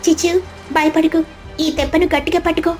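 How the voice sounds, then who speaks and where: A woman's voice: very high-pitched, level tone, a little fast, a little mellow, faint music behind, pitched above the performer's own voice. A woman voicing a brave, kind sparrow in a storybook tale, earnest and reassuring. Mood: urgent.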